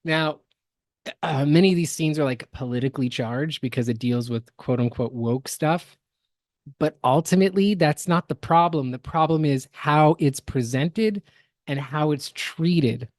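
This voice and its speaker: A man co-hosting a podcast, explaining things in a casual commentary style with halting, emphatic phrasing. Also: a little high, no room sound, clean studio sound. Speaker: podcast co-host.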